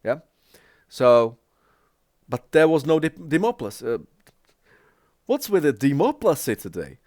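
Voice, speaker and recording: Slow, halting non-native English-speaking man. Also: on the high side, sing-song, darkish tone, no echo, studio quality. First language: not English. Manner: halting, searches for words, many restarts. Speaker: reaction video creator. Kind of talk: casual reaction commentary.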